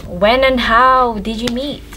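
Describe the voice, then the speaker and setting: Relaxed twenty-year-old woman in casual chat. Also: a little high, slow, rough recording. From Sweden. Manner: relaxed, trendy slang, many "like" fillers. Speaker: YouTube co-host.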